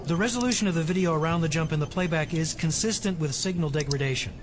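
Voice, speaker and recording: A man's voice: on the high side, fast, background noise, lightly acted. A man playing an earnest, precise tech geek in an audio drama. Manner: precise, technical.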